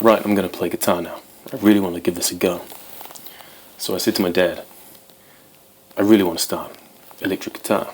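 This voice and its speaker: A man's voice: low-pitched, slight background noise, rough recording. A man in his twenties, born in Wales, chatting casually to camera as host of his guitar channel, rambling with many ums. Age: 20s.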